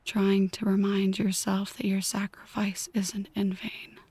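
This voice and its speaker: A woman playing a possessive, brooding mafia boss in an ASMR roleplay, speaking in a breathy whisper, deliberately and intimately to one listener. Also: mid-range voice, monotone, darkish tone, dry recording. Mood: bitter, brooding.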